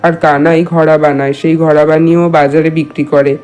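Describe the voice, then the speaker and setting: A man's voice: a little high, level tone, fairly quick, darkish tone, clean tone, lightly acted. A man voicing a proud, status-conscious village headman and husband in a cartoon, speaking earnestly. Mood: concerned. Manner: earnest, addressing his wife familiarly. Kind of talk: cartoon dialogue. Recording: music behind the voice.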